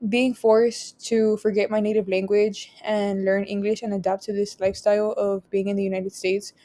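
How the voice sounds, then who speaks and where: A young woman from Texas whose first language is Spanish presents a photo project, reading each caption aloud and then explaining it in a measured way. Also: mid-range voice, flat delivery, mellow. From Rio Grande Valley, Texas. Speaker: student presenter.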